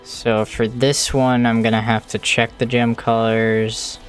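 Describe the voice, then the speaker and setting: Slow, unhurried casual streamer, music behind. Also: mid-range voice, level tone. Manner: mutters numbers and thoughts aloud to self. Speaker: live streamer modding a video game. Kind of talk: casual stream chat while working.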